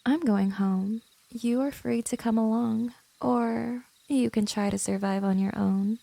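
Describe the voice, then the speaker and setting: A woman voicing a gentle, teasing, seductive vampire hypnotist in a soft, breathy ASMR roleplay, coaxing and soothing. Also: fairly high pitch, fairly even intonation, unhurried, no echo. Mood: calm, inviting. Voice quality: soft, breathy, often whispering.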